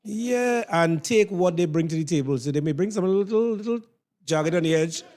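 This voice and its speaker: A man's voice: sits high, animated, moderate pace, a little mellow. A man with a Trinidadian accent hosting a radio interview in an encouraging, conversational way. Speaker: radio host.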